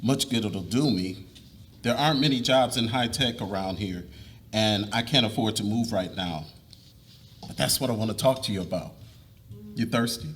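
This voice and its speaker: A man's voice: on the low side, normal speed, long pauses, a bit bright, a little hiss or hum, natural voice. A man reading the part of an earnest, polite young college student in a dramatic reading of a novel. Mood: matter-of-fact.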